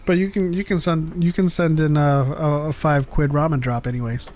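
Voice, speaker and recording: A man's voice: a little high, steady tone, normal speed, darkish tone. A man co-hosting a casual livestream chat, reading viewer questions, rambling and joking with frequent laughs. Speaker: podcast co-host reading live chat.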